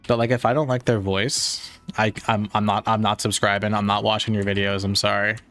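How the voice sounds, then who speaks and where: Young man, slightly nasal, lowish voice, rambling stream chat, faint music behind. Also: flat delivery, fairly quick, fairly bright tone. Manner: rambling, many "like" fillers, laid-back. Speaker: game streamer. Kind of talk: casual livestream chat reading chat.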